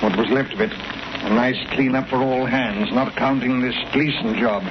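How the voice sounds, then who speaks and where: An actor playing a callous, matter-of-fact criminal ship captain in a 1930s radio drama. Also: male voice, mid-range voice, steady tone, moderate pace, lightly acted. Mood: callous, businesslike. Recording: noisy muffled old radio recording.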